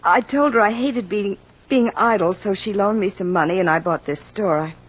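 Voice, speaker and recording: An actress playing a candid, composed shopkeeper in an old radio crime drama, explaining earnestly with slight hesitation. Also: female voice, mid-range voice, moderate pace, husky, natural voice. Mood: candid, reflective. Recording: dull lo-fi old radio recording.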